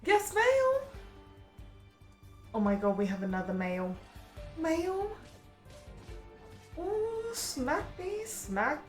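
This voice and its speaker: An excitable, flirtatious woman reacting live to game trailers, gushing, gasping and echoing phrases she hears. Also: very high-pitched, slow, long pauses, faint music behind. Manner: gasps and exclaims, echoes heard phrases. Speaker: gaming reaction host.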